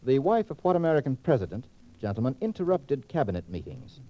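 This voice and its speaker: A man's voice: medium pitch, big pitch swings, fast. A man moderating a 1940s radio panel quiz in a precise, wordy, teasing manner. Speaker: quiz show host. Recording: muffled 1940s radio transcription disc.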